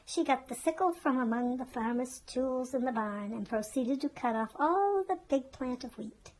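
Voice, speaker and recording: A woman's voice: high voice, animated, normal speed, darkish tone, poor audio. A woman narrating a children's storybook as a storyteller.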